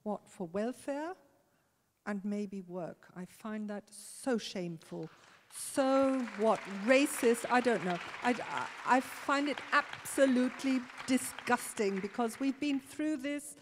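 A woman panelist speaking indignantly and forcefully in a stage debate, holding a handheld mic. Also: on the high side, slow, crowd noise, background noise. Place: large concert hall stage. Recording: reverberant concert hall.

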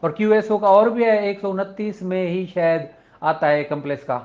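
A man with a Pakistani accent, lecturing informally as a law teacher and switching between explanation and slowly read-out legal English. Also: sits high, fairly even intonation, darkish tone. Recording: dull, lo-fi audio.

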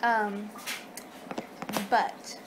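A young woman chats casually through an ASMR roleplay as a friendly vampire host. She rambles and hesitates at a middling pitch. It is a home webcam recording with clear background noise. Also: level tone, drawn-out words. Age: 20s. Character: friendly young two-hundred-year-old female vampire hosting a guest. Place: small room at home.

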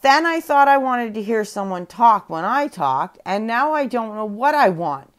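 A woman's voice: on the high side, very animated, measured pace. A woman voicing a sleepy, grumpy female panda bear in a storybook tale, with a whiny, eager way of talking. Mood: sheepish.

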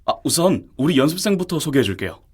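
An actor playing a friendly entertainment agency boss asking for a dance teacher. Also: male voice, a little high, very animated, fast, slightly rough. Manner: casual and friendly. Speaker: agency executive.

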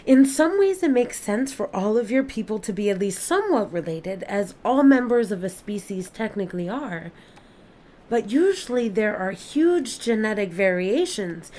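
A woman playing a careful, formal alien scientist in an audio play, speaking precisely with deliberate pauses. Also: mid-range voice, darkish tone, close to an everyday voice. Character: alien witness, careful, formal female scientist-observer of planets.

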